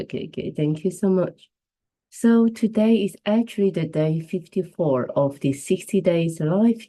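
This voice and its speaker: A woman whose first language is Chinese, hosting a live stream while she has the flu, chatting casually and greeting viewers as they join. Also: fairly low pitch. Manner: chatty, warm greetings to viewers, restarts phrases. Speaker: livestream host.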